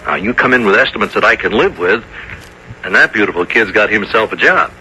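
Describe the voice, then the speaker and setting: A good-hearted, persuasive businessman in a seventies radio drama. His fairly low voice is forceful and very bright, over faint noise. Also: expressive, a little fast, low-quality recording. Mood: eager, persuasive. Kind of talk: 1970s radio drama acting.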